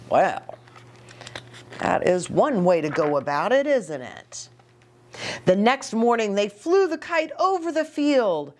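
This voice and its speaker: A middle-aged woman reading a picture book aloud to young children, animated, with chatty asides and questions for her listeners. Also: fairly low pitch. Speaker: children's ministry storytime host. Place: small indoor reading nook with bookshelf and curtains.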